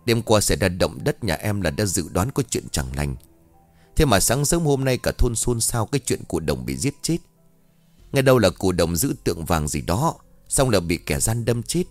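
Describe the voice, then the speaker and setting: A man voicing a brisk, gossipy village woman neighbor passing on news. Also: fairly low pitch, very animated, dry recording, faint music behind, pitched above the performer's own voice. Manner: chatty, brisk, tongue-clicking.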